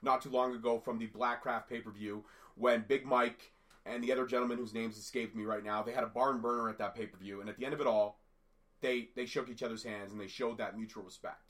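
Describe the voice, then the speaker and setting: A man co-hosting a casual podcast, raspy from a cold, talking at length in an animated, rambling way. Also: medium pitch, fairly quick. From Canada. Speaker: podcast co-host. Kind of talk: casual wrestling podcast chat.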